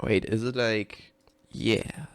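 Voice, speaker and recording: A man's voice: lowish voice, steady tone, takes it slowly, no room sound. A man giving casual, unscripted live commentary as a game developer, rambling, sweary and self-deprecating, and often giggly. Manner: giggly, often breaking into laughter. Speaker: game developer recording a reaction video.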